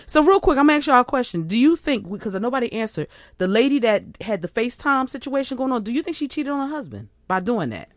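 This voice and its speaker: A woman's voice: a little high, very animated, quick pace, few pauses, dry recording, clean studio sound. A woman co-hosting a casual radio chat, playful and teasing, laughing often. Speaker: co-host.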